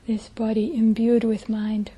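A woman leading a guided meditation as a teacher, speaking gently in a soft, breathy, hushed voice with long pauses. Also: a little high, flat delivery.

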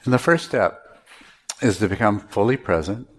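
A man teaching meditation, giving measured guided instructions with pauses between phrases. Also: fairly low pitch.

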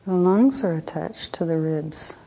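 A woman meditation teacher leading a guided meditation in a soft, calm voice, unhurried, with pauses between short phrases. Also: lowish voice, gravelly, husky. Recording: dull, muffled lo-fi recording.

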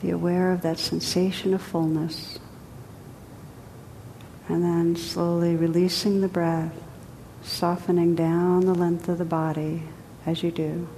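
A woman teaching a guided meditation, speaking gently and unhurriedly in short phrases with pauses between them. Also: flat delivery. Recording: echoey hall, rumbly background noise.

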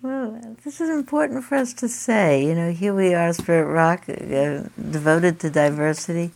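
Woman, low pitch, older, group leader, quick friendly questions. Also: vocal fry, darkish tone. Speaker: meditation teacher leading a group. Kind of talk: informal group discussion.

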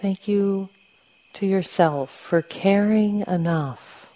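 Woman, meditation teacher, soft mellow tone, very slow pace, gentle delivery, long pauses. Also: mid-range voice. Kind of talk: guided meditation, softly instructing.

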